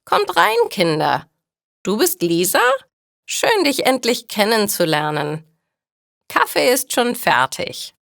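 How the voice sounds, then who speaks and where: Woman voicing a kind, shrewd elderly grandmother, creaky. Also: mid-range voice, big pitch swings, takes it slowly, a bit bright, dry recording, clean studio sound, full character voice. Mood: welcoming, delighted. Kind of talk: storybook dialogue for language learners.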